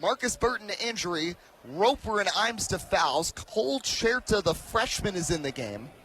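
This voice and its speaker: A man in his early twenties doing live radio basketball play-by-play, with an emphatic, excited delivery that rises to shouts. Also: high voice, moderate pace. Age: early 20s. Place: courtside in a large arena.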